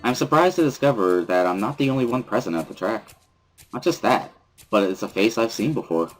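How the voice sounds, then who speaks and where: A man's voice: fairly low pitch, animated, fairly quick, faint music behind, low-quality recording. A man giving a first-person scripted narration, reading a visual novel aloud as a dramatic reading. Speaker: reader of a dramatic reading series.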